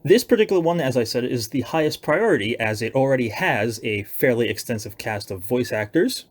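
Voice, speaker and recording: A man's voice: medium pitch, animated, a little fast. A young man giving a casual, loosely planned announcement of his own writing projects, with self-deprecating, hedging asides. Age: young adult.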